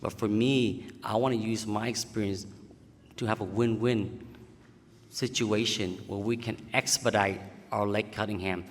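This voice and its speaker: A man's voice: on the low side, steady tone, measured pace. A man from Vietnam, answering at a candidate forum in plain, slightly broken phrasing. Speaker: city council candidate. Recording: reverberant hall.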